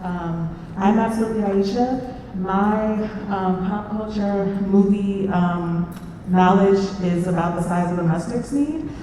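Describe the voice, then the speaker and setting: A woman's voice: medium pitch, measured pace, warm tone. A woman moderating a casual panel, speaking in a wry, informal way. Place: convention panel room with audience, indoors. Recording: echoey hall from far off, faint noise.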